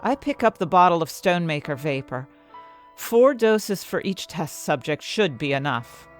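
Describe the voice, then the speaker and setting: Woman acting an expressive, low-voiced ambitious scientist. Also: faint music behind, natural voice. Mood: brooding, conflicted. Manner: dramatic, deliberate storytelling. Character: ambitious, conflicted female scientist narrating her own story. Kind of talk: first-person audio fiction narration.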